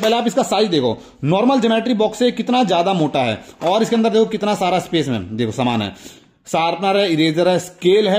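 Indian guy doing excited toy unboxing, high voice, talks really fast. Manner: enthusiastic, repetitive, addressing viewers directly. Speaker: toy review channel host.

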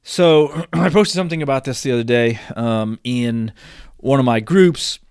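A man hosting his own podcast, hoarse from a cold, talking casually with frequent restarts and throat clearing. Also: medium pitch, unhurried, darkish tone, no echo, clean studio sound. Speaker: podcast host. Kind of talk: casual solo podcast talk.

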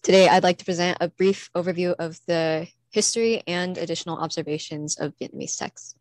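A woman's voice: lowish voice, steady tone, a little mellow, no echo. A teenage girl from California giving a prepared student presentation at an online conference, earnest and reading steadily from her slides. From California, USA. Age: teens. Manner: earnest, reads from slides with small pauses. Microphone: computer mic, online conference.

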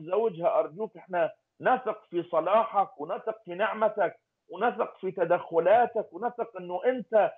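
A man leading a fervent intercessory prayer, pleading and naming people one by one. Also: high voice. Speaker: prayer leader. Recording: tinny phone-like line.

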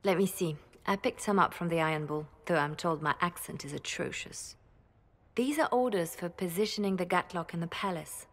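Poised spymaster, lower voice, calm and formal. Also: female voice. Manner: composed, measured, softly formal. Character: poised woman spymaster, former bard, calm. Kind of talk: video game voice acting.

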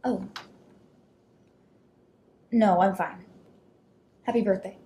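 Reserved, stubborn mother, read by a woman. Naturalistic drama, terse delivery, mellow voice, roomy sound. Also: medium pitch, steady tone, slow pace. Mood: guarded. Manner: understated, terse. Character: estranged mother, reserved, guarded, quietly stubborn. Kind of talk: naturalistic dramatic scene reading. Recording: echoey room, faint noise.

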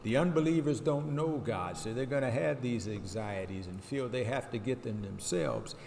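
An older male pastor delivers a sermon with measured emphasis and deliberate pauses. His voice sits at a middle pitch and rings through a roomy, reverberant church, with a faint hum of noise behind it. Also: vocal fry. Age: 60s or older. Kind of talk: sermon with scripture reading. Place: wood-panelled church sanctuary, indoors. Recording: echoey church hall, steady hum.

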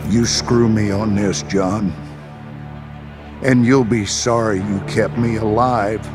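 An actor playing a menacing, deliberate military hardman in a video game. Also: on the low side, fairly even intonation. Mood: cold, threatening. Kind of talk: cinematic military game dialogue. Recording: loud music behind.

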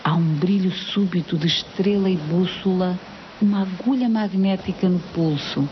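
A woman reading a poem as a voice-over, slow and measured. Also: on the low side. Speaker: poetry reader. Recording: dull lo-fi TV broadcast with faint hiss.

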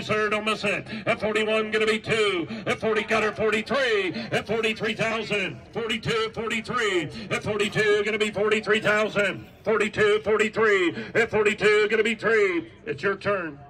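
High-pitched bright auctioneer man. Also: fairly even intonation, moderate pace, hardly any pauses. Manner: rhythmic repetitive bid calling. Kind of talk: rapid auction chant. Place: outdoor estate sale among a crowd. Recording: distant voice outdoors.

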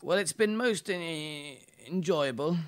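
A man's voice: on the high side, clean tone. A young man playing a deferential, drunken butler who stammers and halts, in an amateur radio play. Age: young adult. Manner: slurred, stuttering, halting.

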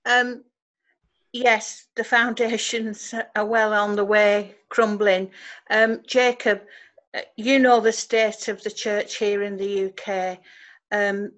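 An older woman from the United Kingdom speaks on a video call. She talks slowly and hesitantly. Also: medium pitch, fairly even intonation, fairly bright tone, low-quality recording. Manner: slow, hesitant. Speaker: caller. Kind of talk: casual chat.

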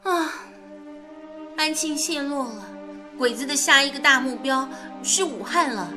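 Teasing sharp-tongued woman in a radio play, high voice, music underneath. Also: expressive, a little slow. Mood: concerned. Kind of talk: radio drama acting.

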